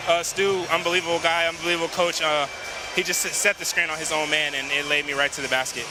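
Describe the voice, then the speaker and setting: A man's voice: fairly high pitch, steady tone, fast talker, low-quality recording. A young man basketball player answering postgame questions modestly, with many fillers. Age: young adult. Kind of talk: postgame interview.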